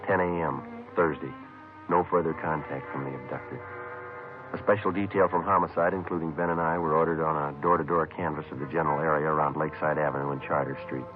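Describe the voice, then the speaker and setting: Police detective, American, very deep pitch, deadpan, clipped narration, vintage radio drama, phone-like bandwidth, background music. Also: male voice, fast. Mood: deadpan, somber. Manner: deadpan, matter-of-fact, clipped. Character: terse, businesslike male police detective sergeant narrating his case. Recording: muffled vintage radio recording with music behind.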